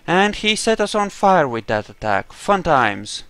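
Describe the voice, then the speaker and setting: A man's voice: on the high side, sing-song, measured pace, fairly bright tone, smooth, dry recording. A man giving casual commentary while he plays through a video game in a roleplaying style. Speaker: gamer doing a roleplay playthrough.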